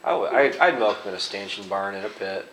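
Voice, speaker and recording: A man's voice: lowish voice, animated, fast, a bit bright. A man who farms, chatting casually in a conversation among friends. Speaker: dairy farmer in conversation. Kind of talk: casual chat. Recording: echoey room, faint noise.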